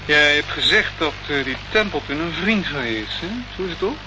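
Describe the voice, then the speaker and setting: A man's voice: on the high side, animated, a little fast, natural voice. An actor playing a guarded male police sergeant in a Dutch radio play, dry, grudging and cautioning. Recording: noisy, muffled old radio recording.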